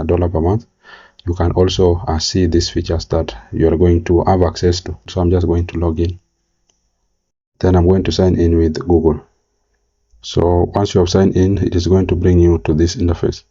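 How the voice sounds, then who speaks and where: Male tutorial presenter, very low and monotone, halting step-by-step delivery, slightly muffled recording. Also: breathy edge. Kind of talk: screen-recorded software tutorial narration. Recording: slightly dull, lo-fi mic.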